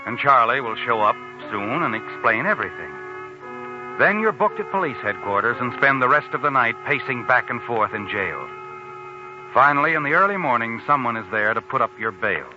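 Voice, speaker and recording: A male actor plays a knowing 1940s radio narrator who needles the troubled hero. His delivery is deliberate and insinuating, with lively swings of pitch. Music plays behind him on a dull, aged broadcast recording. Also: close to an everyday voice. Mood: knowing, ominous. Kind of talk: 1940s radio thriller narration in second person. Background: dramatic music.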